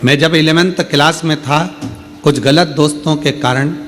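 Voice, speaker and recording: A man's voice: on the high side, fairly even intonation, measured pace, fairly bright tone. Man preaching with rising emphasis. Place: open-air religious gathering.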